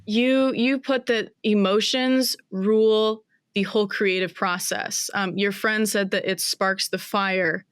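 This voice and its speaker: Woman, 20s, interview guest; smooth, close dry sound, reflective and searching delivery. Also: mid-range voice, fairly even intonation, slow pace, few pauses, no echo. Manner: thoughtful, searching, with many fillers and restarts.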